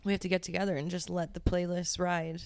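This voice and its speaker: A young woman co-hosting a podcast in a relaxed, casual way, adding short comments and corrections. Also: on the low side, quick pace. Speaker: podcast co-host. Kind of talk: casual chat.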